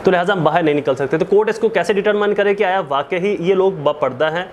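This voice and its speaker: A man's voice: sits high, fast talker, dull audio, slight background noise. A man with a Pakistani accent giving a didactic law lecture, repeating points, posing and answering his own questions and switching into English legal terms. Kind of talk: explanatory lecture.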